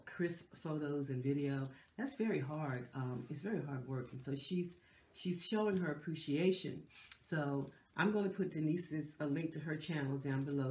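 A woman's voice: very deep voice, echoey room, a little hiss or hum. A middle-aged woman hosting a lifestyle channel, talking casually to camera with a stuffy, nasal voice from a cold and lots of fillers and restarts. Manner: chatty, warm, with many fillers and restarts. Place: decorated living room indoors.

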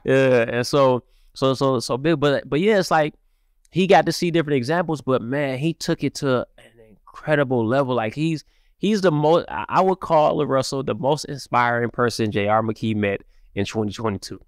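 A man from Cleveland, raised partly in Mississippi, talking casually as a music-marketer guest on a podcast, with lots of fillers, into a studio boom mic. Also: normal speed, clear, resonant tone, dry recording. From Cleveland, Ohio, raised partly in Mississippi. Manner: animated, repetitive fillers. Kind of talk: casual interview talk. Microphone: studio mic on boom arm.

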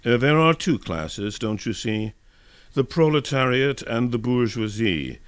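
A man's voice: mid-range voice, animated, a little slow, clean tone, no echo, voice pushed up, lightly acted. A man voicing an earnest, simple male soldier of a workers' militia in a dramatic reading. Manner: earnest, plain-spoken.

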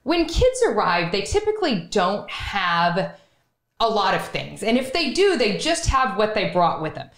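A woman's voice: mid-range voice, very animated, unhurried, a bit bright. A woman, hosting a podcast interview in an emphatic, conversational way. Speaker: podcast host. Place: small wood-panelled podcast studio, indoors. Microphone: studio microphone on boom arm. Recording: roomy studio echo.

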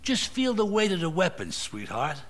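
A man's voice: sits high, wildly swooping pitch, moderate pace. A man playing an aging, cocky mafia boss in a video game cutscene. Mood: commanding.

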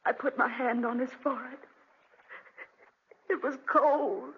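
An actress playing a frail, devoted, sorrowful wife, tender and halting, in 1940s radio drama style. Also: female voice, high voice, steady tone. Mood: grieving. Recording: muffled, noisy 1940s radio recording.

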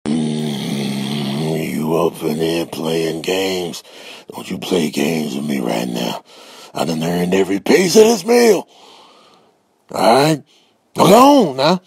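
Man putting on a deep, gravelly grumpy dog. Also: lively intonation, slow pace, long pauses, slight background noise, full character voice. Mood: stern, protective. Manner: stern, folksy warnings. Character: grumpy male dog guarding his hard-earned meal.

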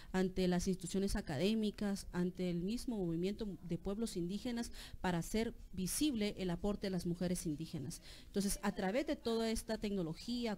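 A woman's voice: mid-range voice, mellow. A middle-aged woman with a Guatemalan accent giving a formal, articulate interview answer in structured phrases. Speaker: interviewee, committee member. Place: outdoor patio at night. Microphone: handheld microphone held by interviewer.

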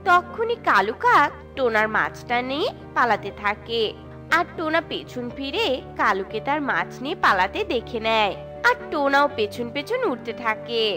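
A woman's voice: on the high side, sing-song, normal speed, bright, forceful tone, faint music behind. A woman narrating a scripted children's story.

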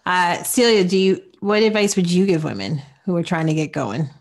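A woman in her forties hosting a podcast interview, upbeat and encouraging, quick to praise her guests. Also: lowish voice. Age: 40s. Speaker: podcast host. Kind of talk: casual interview chat.

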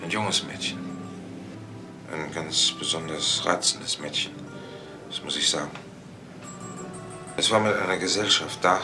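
A subdued bookseller played by a man in a crime radio drama. His voice is very low and measured, halting under questioning, with music around it. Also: natural voice. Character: subdued male bookseller, witness questioned by police. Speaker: actor in a crime radio play. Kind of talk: dramatic radio play acting.

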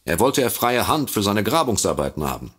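An actor playing a droll, self-satisfied writer and sidekick in a comedic audio drama, teasing with drawn-out emphasis. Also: male voice, on the low side, sing-song, a bit bright, slightly rough, full character voice. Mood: smug.

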